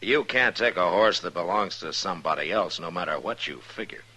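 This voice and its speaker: American frontier marshal for radio western: low, forceful voice, measured and unflappable. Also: male voice, animated, slightly rough, exaggerated voice. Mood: calm, firm. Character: calm, firm, plain-spoken male frontier marshal.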